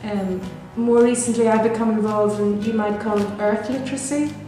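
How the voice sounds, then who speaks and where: A middle-aged woman with a Belfast accent talks gently and thoughtfully, pausing on ums and restarting phrases. Her pitch stays level and even throughout. The sound is very echoey, with clear music underneath. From Northern Ireland. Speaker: Dominican sister. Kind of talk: reflective personal interview.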